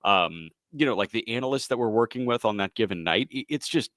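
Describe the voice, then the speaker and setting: A man's voice: fairly low pitch, expressive, brisk, a bit bright, dry recording, clean studio sound. A man in his late twenties with an American accent, a sports broadcaster answering casually as an interview guest.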